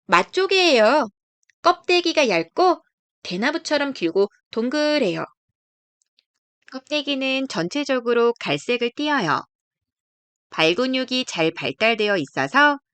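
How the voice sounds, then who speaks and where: A woman narrates a picture book for young children in a high, very animated voice. She explains things gently, as a storyteller would. Her tone is clean and smooth. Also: a little slow, no echo.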